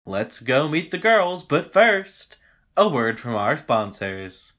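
A man's voice: medium pitch, big pitch swings, slow, a bit bright, heavily acted. A man playing a slick TV contest host in an audio drama, with grand announcer delivery. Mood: showy, upbeat. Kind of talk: audio drama acting as game-show announcer.

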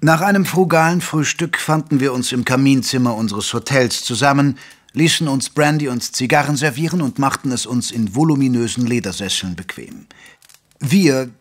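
An actor playing a gentlemanly doctor who narrates an audio drama in articulate, literary, measured speech. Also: male voice, medium pitch, natural voice. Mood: composed. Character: gentlemanly male doctor, detective's loyal companion, narrating. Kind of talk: scripted first-person audio drama narration. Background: music.

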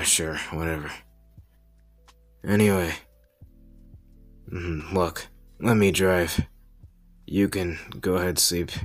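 Man playing a slow, low, drawling villain boyfriend. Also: fairly bright tone.

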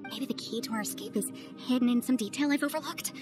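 Woman voicing a sharp, earnest young lady, bit high pitched, music bed behind. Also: close to an everyday voice. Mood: focused. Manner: brisk, earnest, businesslike. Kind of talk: game cutscene voice acting. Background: game music.

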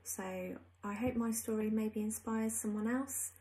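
A woman in her early forties telling a personal story to camera in a reflective, hesitant way with pauses. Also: on the high side, little pitch movement, mellow, rough recording. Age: early 40s. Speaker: freelance graphic designer sharing a personal story. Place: small indoor room at home.

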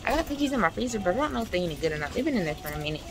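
A young adult American woman chatting casually to camera as she shops, informal and slangy, thinking out loud. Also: medium pitch, big pitch swings, very fast. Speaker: vlogger. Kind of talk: casual chat to camera while shopping. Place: indoors, large supermarket. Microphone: phone or camera at arm's length. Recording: music playing behind the voice. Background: loud music.

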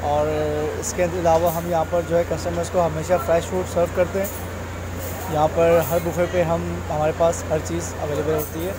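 A man's voice: a little high, flat delivery, moderate pace. A man, a restaurant chef giving a short promotional talk and mixing in English phrases. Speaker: restaurant chef and food manager. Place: outdoor restaurant walkway at night. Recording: noisy open-air restaurant, phone recording.

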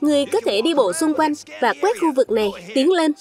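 Woman voicing a high-pitched, boastful cartoon villain. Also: expressive, a little fast, darkish tone. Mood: commanding. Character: scheming villainous man hunting fruit creatures for jam. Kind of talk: cartoon dub.

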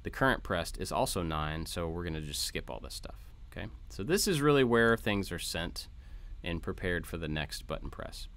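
Male tutorial host, low voice, informal step-by-step screencast narration. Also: lively intonation, moderate pace, few pauses, fairly bright tone. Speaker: host of a maker tutorial channel. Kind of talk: informal code walkthrough narration. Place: voice-over for a screen recording.

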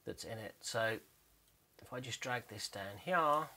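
Man, mid-pitched, bright forceful tone, casual screen commentary, explanatory, hesitant, thinking aloud. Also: a little slow. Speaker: 3D animator narrating behind-the-scenes work.

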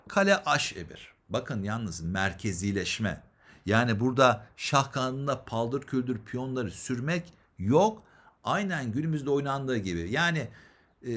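A man's voice: on the high side, a bit bright. A man giving instructional chess commentary, explaining moves and posing questions that he then answers.

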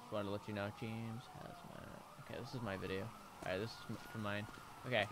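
A man's voice: lowish voice, steady tone, quick pace, noisy background. A man streaming a role-play game, chatting casually and muttering and swearing to himself as he plans. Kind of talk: casual gaming stream chat.

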